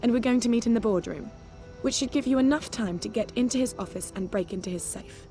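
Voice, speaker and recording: A woman's voice: on the high side, fast talker, mellow, lightly acted. A woman acting a flirtatious socialite secret agent in a video game, playful, arch and self-assured. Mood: gossipy, breezy. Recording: music behind the dialogue.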